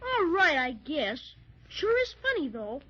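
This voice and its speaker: A woman playing an eager, curious young boy in a 1930s children's radio drama, with excited childlike exclamations. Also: high voice, measured pace, full character voice. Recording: dull 1930s radio transcription.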